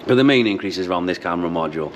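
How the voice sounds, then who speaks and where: A man in his thirties with a fairly low voice walks through a product demo. He explains casually and repeats the key points. There is noticeable background noise in the room. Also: lively intonation, rapid-fire. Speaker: product demonstrator. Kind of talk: casual how-to product demonstration. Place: showroom with intercom display wall, indoors. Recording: clearly noisy room background.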